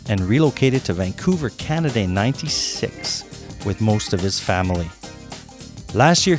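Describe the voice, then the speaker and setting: A man's voice: mid-range voice, a little mellow. A man hosting a podcast, explaining topics in an upbeat, promotional way and introducing his guest. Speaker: podcast host. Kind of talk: podcast monologue and interview.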